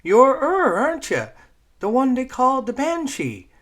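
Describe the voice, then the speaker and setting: A man's voice: extremely high pitch, wildly swooping pitch, fairly bright tone, pitched above the performer's own voice, exaggerated voice. A man voicing a brash nine-year-old forest girl with a lilting Newfoundland accent, talking cheekily and nonstop. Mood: excited. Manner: chatty, cheeky, rapid-fire.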